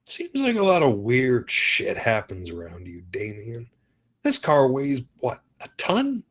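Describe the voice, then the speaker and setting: A man acts a police detective who probes and doubts in a screenplay table read. His voice is middling in pitch, neither deep nor high, and carries suspicion. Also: wildly swooping pitch, unhurried, a bit bright, full character voice. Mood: suspicious, probing.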